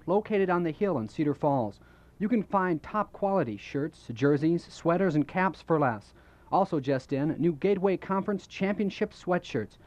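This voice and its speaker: A man's voice: a little high, darkish tone. A man reading a scripted local commercial as a radio announcer.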